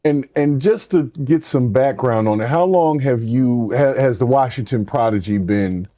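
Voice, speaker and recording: A man's voice: medium pitch, normal speed, warm tone, no room sound. A man hosting a casual interview over a video call, asking curious, easygoing questions. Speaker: podcast host.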